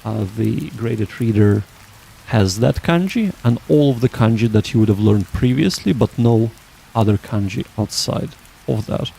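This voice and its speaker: A man hosting a livestream, chatting casually with viewers in a halting, rambling way that often restarts sentences. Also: lowish voice, vocal fry, mellow, slight background noise, low-quality recording. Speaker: livestream host.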